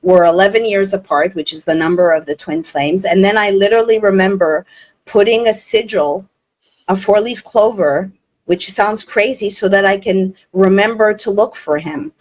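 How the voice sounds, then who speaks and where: A woman's voice: medium pitch, moderate pace. A woman from Montreal, not a native English speaker, hosting an interview in an earnest, effusive way. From Montreal, Canada. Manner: effusive, earnest, retells dialogue. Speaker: interview host. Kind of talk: casual interview chat.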